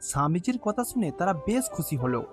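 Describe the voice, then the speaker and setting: A man's voice: high-pitched, big pitch swings, fast, over music. A man narrating a scripted children's bedtime story.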